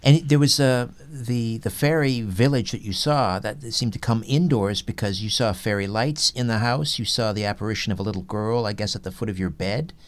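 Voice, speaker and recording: A man's voice: on the low side, moderate pace, hardly any pauses. A man hosting an interview conversationally, prompting guests with recaps and short tag questions. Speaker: podcast host, interviewer.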